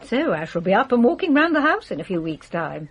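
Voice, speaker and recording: Teasing old english lady, imperious, bit husky, voice swoops about, radio play. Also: fairly high pitch, very animated, a little fast, muffled recording, full character voice. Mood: querulous. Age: older. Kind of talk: radio drama acting.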